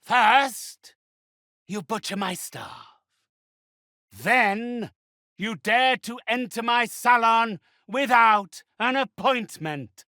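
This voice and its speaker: An actor playing a sinister, imperious undead necromancer in a video game, with grandiloquent phrasing and a creaky, breathy voice. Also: male voice, very high-pitched, expressive, pushed and bright, no room sound, clean studio sound, heavily acted. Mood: haughty disdain. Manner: imperious, grandiloquent, slow and drawn-out menace. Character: haughty, sinister undead necromancer and spirit medium, male. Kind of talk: theatrical video game voice acting.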